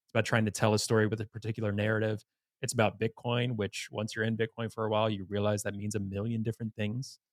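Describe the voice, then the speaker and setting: A man's voice: fairly low pitch, flat delivery, fast talker, no room sound. A man hosting a podcast in an informal, blunt conversational manner. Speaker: podcast host. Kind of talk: conversational interview.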